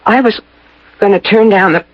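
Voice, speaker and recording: A woman's voice: medium pitch, moderate pace, lightly acted. An actress in an old-time radio drama playing a plain-spoken, skeptical, motherly innkeeper's wife with a bossy, folksy manner. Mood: uneasy. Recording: dull lo-fi old radio recording.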